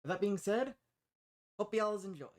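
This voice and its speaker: A man's voice: high voice, animated, normal speed. A man casually introducing his audio roleplay as its creator.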